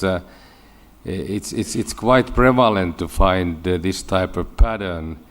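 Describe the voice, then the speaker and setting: A man with a Finnish accent giving a scientific conference lecture in halting English, often repeating words. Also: low voice. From Finland. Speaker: medical researcher giving a lecture.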